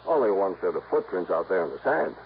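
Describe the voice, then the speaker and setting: An earnest American insurance man in an old radio mystery, with a lowish voice and a brisk, folksy, businesslike manner. The recording is muffled with faint noise. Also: very animated. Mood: grave, explanatory. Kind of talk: 1950s radio drama acting.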